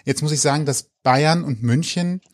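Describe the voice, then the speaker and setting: A man's voice: on the high side, moderate pace, no echo, clean studio sound. A man hosting an interview podcast, asking in a thoughtful, hesitant way with fillers. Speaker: podcast host. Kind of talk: casual interview chat.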